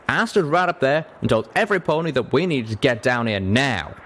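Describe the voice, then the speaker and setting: A man's voice: mid-range voice, expressive, a little fast, a bit bright, a little hiss or hum, pitched above the performer's own voice, lightly acted. A man voicing an earnest, folksy pegasus cowboy pony in a storybook reading. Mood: wry, earnest. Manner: drawling, folksy.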